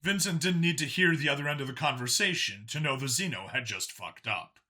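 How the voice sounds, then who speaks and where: A man's voice: fairly high pitch, animated, brisk, pushed and bright. A man reading scripted science fiction narration as an audiobook narrator.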